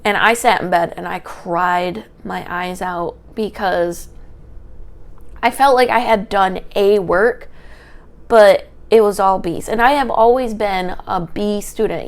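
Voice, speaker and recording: A woman's voice: medium pitch, a little slow. Young woman rambling into a little mic, tiny light voice, trips over her words, raw unedited vlog. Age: young adult. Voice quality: small, light voice. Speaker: vlogger, history student. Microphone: small handheld microphone.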